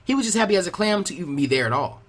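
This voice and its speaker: A man narrates a story at a brisk clip, in a fairly high, animated voice. His tone is somewhat husky, and music plays behind the reading. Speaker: fan fiction reader.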